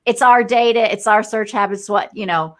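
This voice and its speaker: A woman speaking as a guest in a live online discussion. She talks things through thoughtfully, circling back with fillers and restarts as she explains. Her voice sits at an ordinary middle pitch. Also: normal speed. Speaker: guest entrepreneur.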